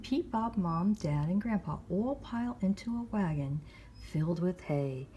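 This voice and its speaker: Low-pitched woman reading a children's storybook slowly. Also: expressive, dark tone, husky. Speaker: library storytime host. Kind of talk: picture-book read-aloud for young children.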